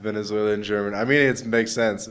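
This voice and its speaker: A young man hosting a podcast, talking in an easygoing, friendly way with relaxed filler words. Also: lowish voice, fairly quick, clear, resonant tone. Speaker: podcast host. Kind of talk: casual interview chat.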